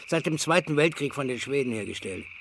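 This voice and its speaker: An actor playing a fussy, methodical retired explosives expert in a German crime radio drama, speaking calmly and precisely. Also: male voice, mid-range voice, fairly quick, gravelly, slight background noise. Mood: calm, informative.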